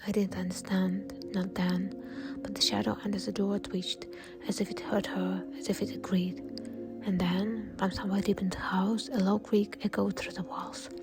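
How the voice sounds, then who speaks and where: A woman narrating a horror story in a breathy, unvoiced whisper. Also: medium pitch, flat delivery, normal speed. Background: soft music.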